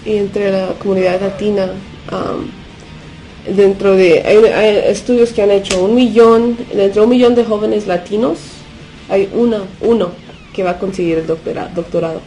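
Creaky-voiced woman, halting and mellow. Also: medium pitch, steady tone, soft background music, low-quality recording. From United States. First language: Spanish. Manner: halting, searching for words, slips into English fillers. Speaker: interview guest from a punk collective. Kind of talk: unscripted radio discussion.

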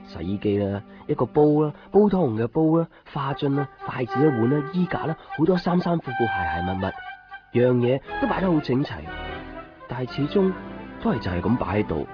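A man's voice: medium pitch, big pitch swings, brisk, natural voice. A man acting a wistful young narrator in a radio drama, speaking intimately with a Hong Kong Cantonese accent. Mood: wistful, anxious. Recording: dull lo-fi radio, music behind.

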